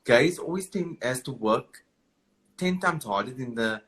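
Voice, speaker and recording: A man's voice: mid-range voice, expressive, unhurried, fairly bright tone, rough recording. A man, a guest in a live video interview, talking emphatically and encouragingly. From South Africa. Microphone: video call.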